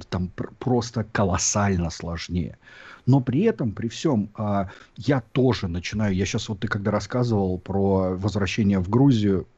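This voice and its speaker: A man's voice: fairly low pitch, darkish tone, slightly rough. A Russian-born man who lives abroad and hosts a YouTube channel, reflecting and explaining at length in a casual chat. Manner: reflective, explanatory, many fillers. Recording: dull lo-fi sound.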